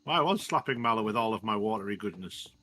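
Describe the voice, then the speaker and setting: A man casually chatting with friends during a game, relaxed and laughing, using lots of "like". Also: fairly low pitch, quick pace. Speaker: gamer in voice chat. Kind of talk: casual gaming chat.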